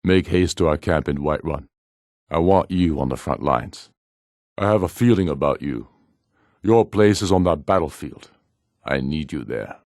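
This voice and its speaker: An actor playing a proud, commanding middle-aged rebel jarl in a fantasy video game, speaking in solemn, imperious, measured oratory. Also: male voice, very deep voice, lively intonation, darkish tone, no room sound, clean studio sound. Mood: grave, resolute.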